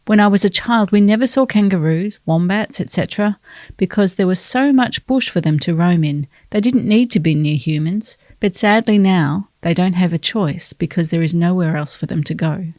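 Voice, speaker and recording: An Australian woman reading a reader's letter aloud in an earnest, unhurried way. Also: fairly low pitch, a little mellow, no room sound, studio quality. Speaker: newspaper reader.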